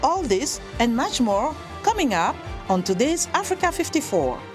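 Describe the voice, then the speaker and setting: A woman announcing a show promo with a lively broadcast delivery. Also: high-pitched, normal speed. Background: music.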